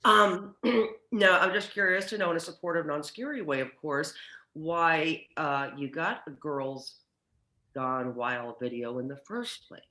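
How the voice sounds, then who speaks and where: A woman playing a sharp-tongued, exasperated sitcom wife who tries hard to sound reasonable, halting and insistent, in a comedic script read. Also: low voice, reverberant. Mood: wry. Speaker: sitcom actor at a cast reunion table read.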